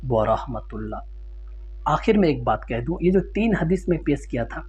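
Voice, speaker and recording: A man's voice: medium pitch, very animated, measured pace, breathy edge. A man delivering an informal Islamic lecture, explaining didactically, citing sources and restating his points in simpler words. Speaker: Islamic religious teacher answering a ruling question. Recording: dull lo-fi sound with loud hum.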